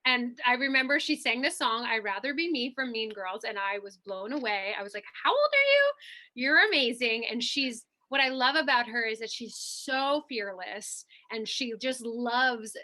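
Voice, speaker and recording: A woman's voice: a little high, expressive, normal speed, bright, forceful tone. A woman working as a guest coach, chatting casually and enthusiastically, with lots of "like". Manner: enthusiastic, informal, frequent "like". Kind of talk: casual chat.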